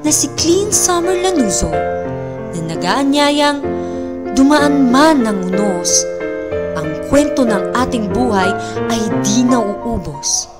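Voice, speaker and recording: A woman hosting a radio drama, reading a scripted closing narration in a deliberate, presentational way. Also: on the high side, lively intonation, over loud music.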